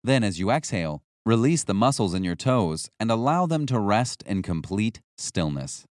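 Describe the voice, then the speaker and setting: Man narrating with lively, varied intonation. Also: lowish voice, normal speed, no room sound, studio quality. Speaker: audiobook narrator. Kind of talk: scripted nonfiction audiobook narration.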